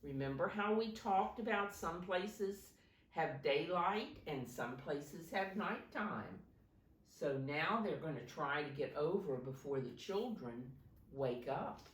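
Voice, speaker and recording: Slow, expressive woman reading a storybook. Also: low voice. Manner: expressive, explaining to children. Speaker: storybook reader for young children. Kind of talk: read-aloud narration with simple explanatory asides. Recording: roomy, recorded from a distance.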